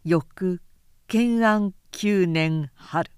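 A woman reading a historical novel as a scripted audiobook, with measured, dramatic storytelling. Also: fairly low pitch, smooth, dry recording, studio quality. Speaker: narrator, literary reader.

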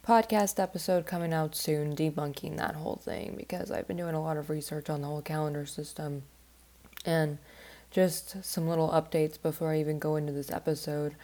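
A woman talks through her astrology podcast in a loose, rambling way, full of little fillers. Her voice sits low and stays fairly level, and her nose sounds stuffed up from a recent flu. The tone is mellow rather than bright. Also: steady tone, brisk. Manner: rambling and chatty, with many "like" fillers. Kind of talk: casual podcast monologue.

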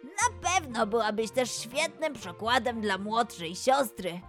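A woman playing a caring, patient young male animal friend in a children's storybook, speaking in a gentle, reassuring, measured way. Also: on the high side, expressive, soft background music, pitched below the performer's own voice. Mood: encouraging.

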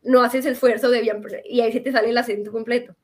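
A woman's voice: fairly high pitch, quick pace. A woman from Colombia with a Colombian accent, a guest recounting her experience in a casual interview over a video call, talking with many fillers and restarts. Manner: animated storytelling with fillers and restarts.

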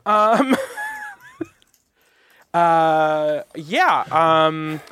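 A podcast host from Long Island, with a voice pitched in the middle range, chats casually. The delivery is loose and rambling, with frequent laughs and restarts. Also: animated, very slow, a bit bright, clear, resonant tone, no room sound. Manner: laughing, rambling, self-interrupting. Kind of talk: casual chat.

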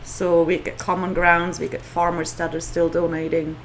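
A woman with a Swiss accent and a somewhat low voice talks through her experiences in a relaxed interview. She tells stories earnestly, circling back with restarts and soft chuckles. Faint noise hums under the recording. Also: moderate pace. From Switzerland. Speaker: guest, community food organizer. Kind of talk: casual interview conversation.

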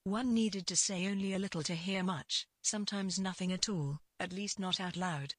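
A woman reading literary fiction as narrator, in a measured and deliberate scripted delivery. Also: fairly low pitch, breathy edge, no echo, studio quality.

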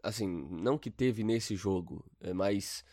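A man's voice: lowish voice, unhurried, warm tone, no echo, clean studio sound. A young man co-hosting a casual football chat, rambling with restarts and slang. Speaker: podcast co-host.

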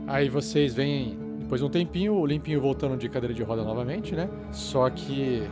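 A man's voice: mid-range voice, a little fast, over loud music. A man playing a tabletop game, improvising casual narration about his character.

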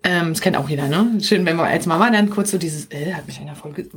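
A woman's voice: sits low, brisk. A woman with a Berlin accent giving a live-streamed coaching talk, chatty and direct, often checking in with viewers. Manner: chatty and direct, tags points with "okay?". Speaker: business coach.

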